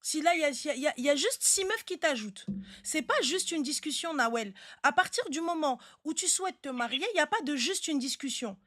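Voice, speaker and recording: A very high-voiced woman hosts a live advice chat in a close, dry sound. She is blunt and insistent, repeating herself to press her point as she talks casually to callers. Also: lively intonation, a little fast, fairly bright tone, dry recording. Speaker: live stream host giving relationship advice.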